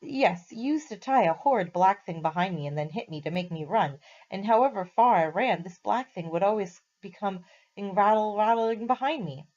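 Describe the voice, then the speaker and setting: A woman doing a slow, muddled talking horse. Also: mid-range voice, expressive, a little mellow, dull audio, lightly acted. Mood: thoughtful. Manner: slow, thoughtful, hesitant.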